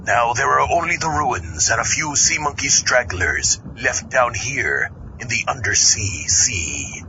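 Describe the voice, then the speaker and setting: A man's voice: medium pitch, animated, measured pace, pushed and bright, lo-fi sound, slight background noise, full character voice. A man playing a greedy, grumbling comic pirate captain with theatrical pirate talk in a podcast skit. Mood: gleeful narrating. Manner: theatrical, pirate-style speech.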